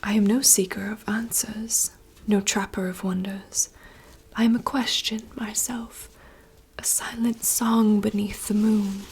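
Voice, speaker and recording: A woman voicing a wistful young princess in a soft, slow, poetic whisper. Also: medium pitch, little pitch movement, breathy edge. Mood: yearning. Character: wistful young princess longing for wild woods. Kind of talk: whispered monologue reading.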